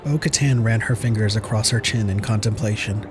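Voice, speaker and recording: A man's voice: on the low side, a little fast, background noise. A man reading scripted dramatic narration as the narrator of an audio story.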